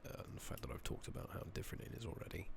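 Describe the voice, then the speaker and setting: A man giving hushed, casual, rambling ASMR commentary while playing a video game, speaking softly into a close microphone. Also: racing. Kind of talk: soft-spoken ASMR gameplay commentary. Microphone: close USB condenser microphone. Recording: noticeable background noise, clicking.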